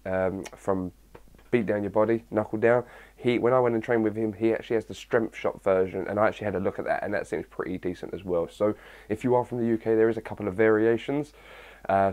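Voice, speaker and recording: A young man with a husky early-morning voice, chatting casually to his viewers, answering their questions and rambling with lots of fillers. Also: lowish voice, darkish tone. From England. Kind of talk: casual chat to camera.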